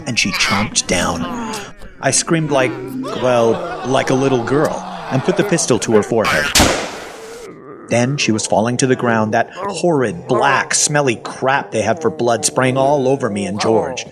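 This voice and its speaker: Male narrator, an actor in role: self-deprecating everyman survivor, audio fiction storytelling, wry and folksy, very animated delivery at a mid pitch. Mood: tense, wry. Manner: folksy, conversational, sardonic. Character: wry, self-deprecating male zombie-apocalypse survivor recounting his ordeal. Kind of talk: first-person storytelling, dramatic audio fiction.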